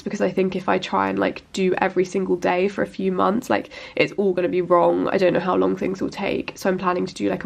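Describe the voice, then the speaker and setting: A woman's voice: medium pitch, vocal fry, distant mic. A woman in her twenties chatting casually in a study vlog, sounding bunged up from a cold, with a rambling, filler-heavy way of talking. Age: 20s. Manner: chatty, rambling, lots of likes and restarts. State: congested with a cold. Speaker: vlogger.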